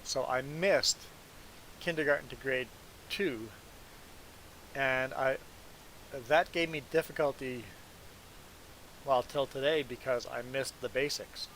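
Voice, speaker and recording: A man's voice: medium pitch, takes it slowly, pauses often, fairly bright tone, a little hiss or hum, low-quality recording. A man, an English speaker, chatting casually and self-deprecatingly with viewers as a game streamer. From Belize. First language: Plautdietsch (Mennonite Low German). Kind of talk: casual live-stream chat.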